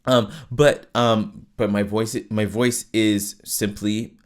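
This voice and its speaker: A man with a lower voice, hosting a podcast and explaining singing to listeners in a loose, chatty way, full of restarts and tag questions. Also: level tone, takes it slowly. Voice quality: lower voice with falsetto access. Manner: conversational, many fillers and restarts, frequent "right?". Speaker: podcast host and vocal coach. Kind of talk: casual teaching monologue.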